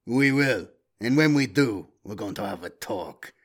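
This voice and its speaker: A man's voice: fairly low pitch, lively intonation. Man playing a menacing, sardonic bounty hunter. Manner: taunting, deliberate. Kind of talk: audiobook character voice.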